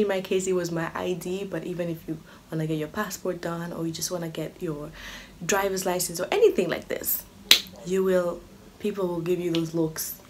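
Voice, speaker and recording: A young woman with a slightly low voice talks casually to the camera as a vlogger. She is animated and tells her stories in a chatty way. The indoor home recording carries clear background noise. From Kenya. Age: 20s. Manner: animated, acts out other people's remarks. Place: small furnished living room or bedroom, indoors.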